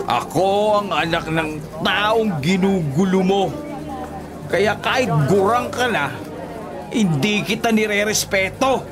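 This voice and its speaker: A man's voice: high-pitched, slow pace, crowd noise. A man playing a drunken, belligerent grown son in a Filipino radio drama, confrontational and taunting. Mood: taunting, angry.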